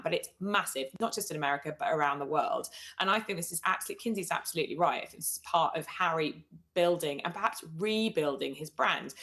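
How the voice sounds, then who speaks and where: A woman's voice: fairly low pitch, fairly bright tone. A British woman giving emphatic, opinionated analysis as a royal commentator on a live TV news show. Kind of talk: live TV punditry.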